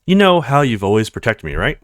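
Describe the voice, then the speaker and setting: A man's voice: mid-range voice, sing-song, fast talker, no echo, studio quality, close to an everyday voice. A man voicing a petite young woman in a visual novel, earnest, insecure and blunt in her complaints. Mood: earnest, hesitant.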